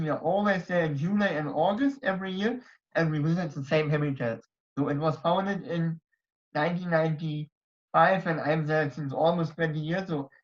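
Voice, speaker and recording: A young man from Germany speaking English with a German accent, giving a hesitant online talk full of fillers and restarts. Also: fairly high pitch. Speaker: presenter at a society members night. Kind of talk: casual online talk with slides. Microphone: computer mic on a video call. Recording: dull lo-fi video call audio.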